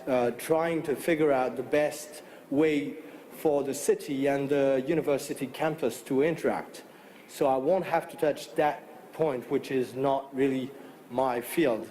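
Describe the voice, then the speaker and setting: Man, French accent, conference speaker, halting delivery, podium mic, faint ambience. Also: mid-range voice, a little mellow, slight background noise, rough recording. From France. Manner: halting, many fillers and restarts. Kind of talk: conference talk with slides. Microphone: podium microphone.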